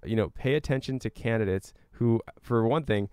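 A man's voice: mid-range voice, moderate pace, darkish tone, smooth, dry recording, studio quality. A man giving earnest, explanatory answers as a guest in a conversational interview. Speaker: climate educator and guest.

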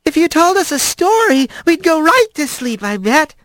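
Squeaky eager opossum kids. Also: male voice, big pitch swings, moderate pace, a bit bright, dry recording, clean studio sound, full character voice. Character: excited young opossum kids, eager and chattering.

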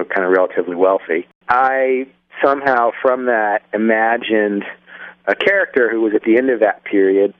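A man's voice: fairly low pitch, dry recording. A young man, a filmmaker, answering interview questions on a phone line, thoughtful and full of restarts. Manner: thoughtful, many restarts and fillers. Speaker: filmmaker guest. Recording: phone-line sound, dry.

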